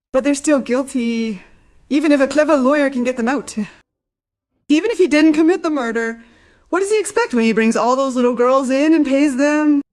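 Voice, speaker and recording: A woman playing a high-voiced, spiteful gossiping wife. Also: a little mellow, close to an everyday voice. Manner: scornful, sarcastic. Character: spiteful, gossipy middle-aged working-class wife, sardonic neighbour. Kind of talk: crime radio play acting.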